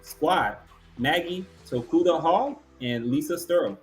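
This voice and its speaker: A man's voice: on the high side, lively intonation, unhurried, soft background music. A man hosting an awards ceremony, announcing categories and finalists and reading out remarks.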